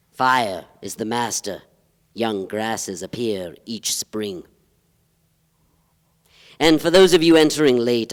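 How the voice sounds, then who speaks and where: An actor playing a pompous, wistful poetry-reading emcee, speaking in a solemn, florid way on a theatre stage. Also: male voice, lowish voice. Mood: solemn, mournful. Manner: pompous, ponderous. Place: theatre stage, live performance. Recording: reverberant live theatre hall.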